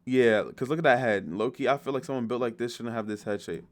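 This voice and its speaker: A man's voice: medium pitch, fast talker. A man giving casual, off-the-cuff reaction commentary as a video host, blunt and full of swearing and slang.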